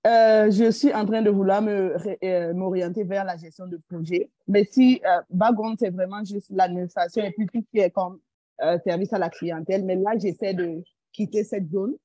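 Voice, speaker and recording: A woman introduces herself on a video call. Her voice is warm and mellow, mid-pitched, and she speaks politely with small hesitations. The sound is close and dry. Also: steady tone, moderate pace, no echo, poor audio. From Burkina Faso. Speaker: workshop participant.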